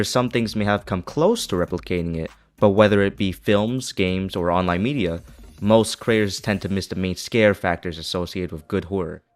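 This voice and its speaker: Low-voiced man narrating a video essay. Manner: opinionated, critical.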